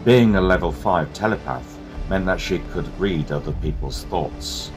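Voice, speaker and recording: A man narrating a dramatic scripted story in a measured, portentous manner. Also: low voice, expressive, low-quality recording. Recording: voice over music.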